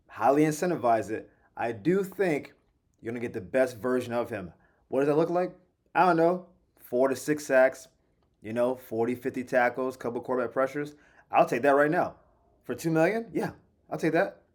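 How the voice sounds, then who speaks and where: A man hosting a daily sports show, talking casually and animatedly in slangy asides, his voice slightly hoarse. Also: medium pitch, a little mellow. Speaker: sports podcast host. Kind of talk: casual solo sports commentary.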